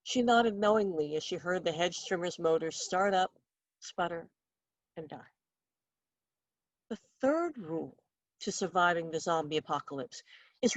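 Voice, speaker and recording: A woman's voice: medium pitch, no echo. Female author for a story reading: wry, theatrical storyteller, mid-range voice, very little room sound. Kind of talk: expressive literary story reading.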